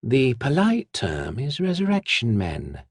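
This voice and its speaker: A man's voice: medium pitch, huge pitch swings, unhurried, vocal fry, husky, no echo, studio quality, pitched above the performer's own voice, full character voice. A man voicing a menacing female body snatcher who speaks in a rattling, hissing whisper.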